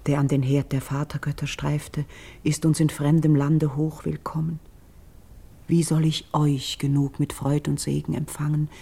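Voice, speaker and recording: An actress playing a grave, noble young priestess in a classical verse radio drama, speaking with solemn, measured dignity. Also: female voice, deep voice, vocal fry, dark tone, husky, full character voice. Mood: moved, hopeful.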